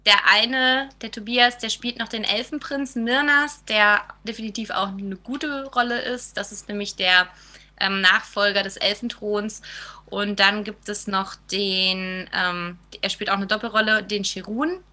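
A woman's voice: medium pitch, fairly even intonation, unhurried, sharp tone. A woman performer talking informally in a group interview about a production. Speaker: musical performer.

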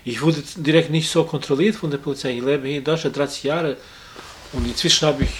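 A halting man who keeps restarting his words. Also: fairly high pitch, steady tone. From outside Germany. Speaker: interviewee, acquaintance of the victim. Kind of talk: hesitant conversational interview.

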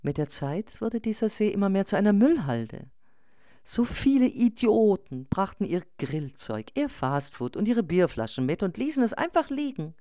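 A woman reading as a thoughtful, nonconformist mother in a radio collage, answering online posts in a measured, reflective way. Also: medium pitch, very animated, mellow, no room sound, clean studio sound, natural voice.

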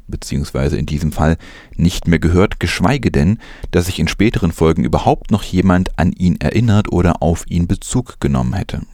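A man's voice: low-pitched, a little mellow, no room sound, clean studio sound. A man presenting news as scripted narration.

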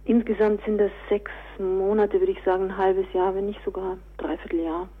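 A woman's voice: medium pitch, flat delivery, normal speed, husky, narrow, tinny audio. A woman answering interview questions conversationally as an author and director, on a thin radio recording.

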